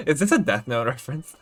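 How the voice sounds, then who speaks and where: A man giving casual live game commentary, informal and a little hesitant, with muttered asides. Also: mid-range voice. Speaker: game streamer. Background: game music.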